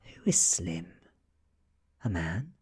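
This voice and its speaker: A man with a British accent voicing an ancient female vampire from a horror audiobook, gentle, coaxing and coldly menacing. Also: low-pitched, very animated, takes it slowly, husky, full character voice. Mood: coaxing interrogation. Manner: soft, coaxing, whispery. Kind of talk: ASMR audiobook reading.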